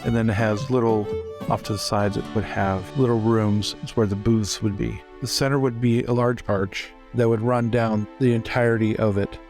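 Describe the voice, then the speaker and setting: A man's voice: fairly low pitch, fairly even intonation, normal speed, darkish tone. A man playing a tabletop game, describing scenes in improvised collaborative storytelling. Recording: faint music behind.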